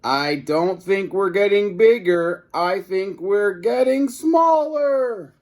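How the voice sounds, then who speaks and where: Man as puzzled schoolboy Harold, raised pitch. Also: animated, slow pace, darkish tone.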